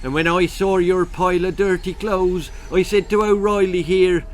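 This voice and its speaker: Guy doing a chatty old irish leprechaun, forgetful n cheery, kinda high voice, music behind, storybook style. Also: little pitch movement, moderate pace, slight background noise, close to an everyday voice. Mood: confiding, gleeful. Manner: chatty, folksy, repetitive greetings.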